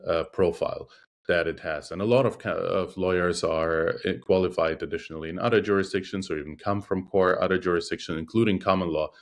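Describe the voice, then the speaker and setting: Deep-voiced man with a Russian background. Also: fairly even intonation. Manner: measured, thoughtful, frequent hesitations. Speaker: interview guest, arbitration lawyer. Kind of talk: conversational interview.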